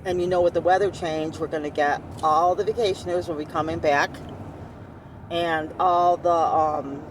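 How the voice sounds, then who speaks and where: A woman's voice: fairly low pitch, steady tone, normal speed, distant mic. Woman chatting in a noisy moving car. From Upstate New York. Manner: says she has a strong, loud voice. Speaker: vlogger. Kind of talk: casual chat while riding in a car.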